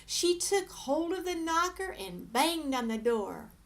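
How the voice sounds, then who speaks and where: A woman reading a children's story aloud as an expressive narrator. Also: extremely high pitch, slow.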